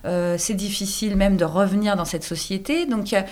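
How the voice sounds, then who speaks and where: A woman's voice: medium pitch, moderate pace, darkish tone. A woman hosting a radio interview, asking probing questions and narrating a short report. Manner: engaged, asks probing questions. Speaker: radio host.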